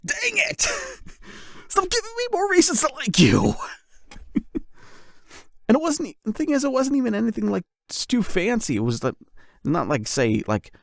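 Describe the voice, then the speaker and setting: A man's voice: a little high, gravelly, no echo, studio quality, full character voice. A man acting a shy, flustered young man confessing love to an online friend in a hesitant, rambling ASMR boyfriend roleplay. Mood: flustered, giddy. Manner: hesitant, rambling, nervous chuckles.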